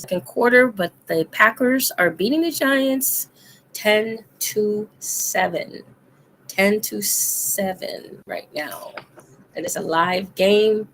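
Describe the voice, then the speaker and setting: A woman chatting casually as a co-host, her voice made deep and hoarse by a cold, talking loosely with many pauses and laughs. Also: a little hiss or hum, low-quality recording. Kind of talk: casual chat.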